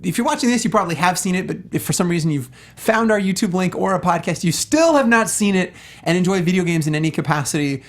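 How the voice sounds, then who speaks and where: A man's voice: sits high, animated, fast talker. A man co-hosting a casual film discussion show, speaking with emphatic, earnest enthusiasm. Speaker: talk show co-host.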